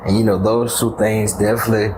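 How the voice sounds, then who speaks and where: A man speaking haltingly in a played clip, filling gaps with "you know". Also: on the low side, little pitch movement. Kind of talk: casual explanation in a clip. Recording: distant voice in a noisy clip.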